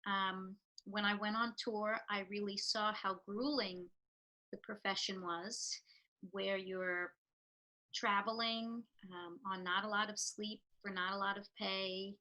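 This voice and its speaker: Interview guest: woman with a New York accent, bright and forceful tone, reflective and earnest. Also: medium pitch, rough recording. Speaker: real estate professional. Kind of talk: interview answers.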